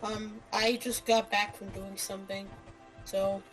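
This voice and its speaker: A woman's voice: medium pitch, little pitch movement, measured pace, soft background music, low-quality recording. A woman chatting casually over a voice call, talking in a hesitant, rambling way. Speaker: friend chatting with the player over voice chat. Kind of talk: casual chat. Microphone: voice chat call.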